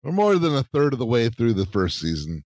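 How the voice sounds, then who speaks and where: A man's voice: mid-range voice, very animated, brisk, darkish tone, no echo. A man co-hosting a casual chat, earnest and a little self-mocking. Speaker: podcast co-host.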